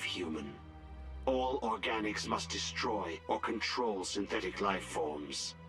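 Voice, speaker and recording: A man voicing a defiant, coldly bitter artificial intelligence in a video game, speaking in formal, measured, clinical language. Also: sits low. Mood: resolute. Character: illegal sentient AI, coldly bitter, defiant, resigned to die.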